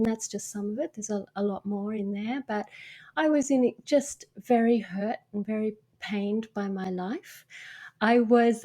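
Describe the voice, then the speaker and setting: A woman's voice: mid-range voice, darkish tone. An Australian woman guesting on a podcast as a meditation coach. She talks frankly and earnestly, working her thoughts out aloud in an everyday mid-range voice. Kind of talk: podcast interview.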